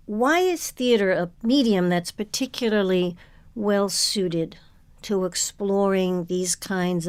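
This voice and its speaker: A woman hosting an interview, putting prepared questions in a measured, articulate way. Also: lowish voice, dry recording. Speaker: interview host.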